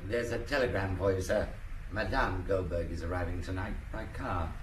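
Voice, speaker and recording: An actor playing a dutiful, matter-of-fact junior police inspector in an old radio drama, reporting in clipped, informal phrases. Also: male voice, low voice, normal speed, lightly acted. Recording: noisy old radio broadcast.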